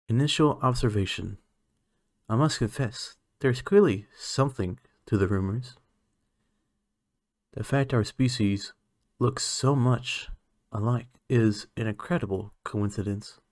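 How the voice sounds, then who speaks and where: A man playing an aloof, condescending alien scientist in an ASMR roleplay, speaking in a clinical, measured, haughty manner. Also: fairly low pitch, a little mellow, no echo, studio quality. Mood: clinical, musing. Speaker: voice actor.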